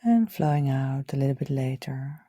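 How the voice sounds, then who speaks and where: A woman leading a guided meditation in a gentle, soothing, unhurried way, her soft voice often trailing off toward a whisper. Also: very deep voice, animated, no echo, studio quality. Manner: soothing, gentle, unhurried, with audible sighs and breathy pauses. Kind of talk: guided meditation, live session.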